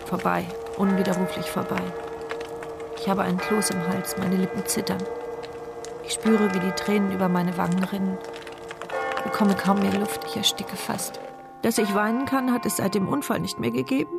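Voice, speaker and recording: A woman's voice: mid-range voice, flat delivery, moderate pace, vocal fry, natural voice. An actress playing an anguished, introspective woman who narrates her thoughts in short phrases in a radio play. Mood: grieving. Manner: introspective, speaks in short present-tense thoughts. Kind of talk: dramatic first-person radio play monologue. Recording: studio radio play with background music.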